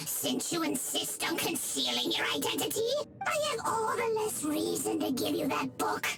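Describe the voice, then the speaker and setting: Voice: extremely high pitch, very animated, unhurried, exaggerated voice. A woman voicing a small sly monster mage in a video game, theatrical and prone to stammering. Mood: smug. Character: shady abyss mage, small magical goblin-like monster, smug then cowering. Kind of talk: video game character acting. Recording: loud game music behind. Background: loud game music.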